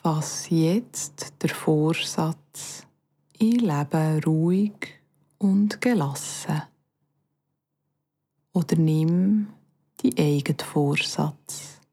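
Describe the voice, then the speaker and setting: A woman speaks Bernese Swiss German in a low, mellow voice, leading a guided relaxation. Her delivery is very unhurried and soothing, with long pauses between short instructions. Phrases often trail off softly into breath. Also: breathy edge. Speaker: yoga nidra instructor. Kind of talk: guided meditation.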